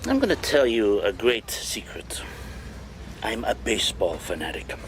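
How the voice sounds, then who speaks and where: An actor playing a middle-aged professor in a radio drama, telling stories in a wry, deliberate, theatrical way. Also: male voice, on the low side, wildly swooping pitch, a little hiss or hum, heavily acted, low-quality recording. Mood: wry, confiding. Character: wry, donnish male history professor, older mentor.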